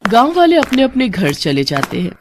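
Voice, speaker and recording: A woman's voice: fairly high pitch, very animated, normal speed, slight background noise. A woman narrator giving scripted narration of a cartoon moral story.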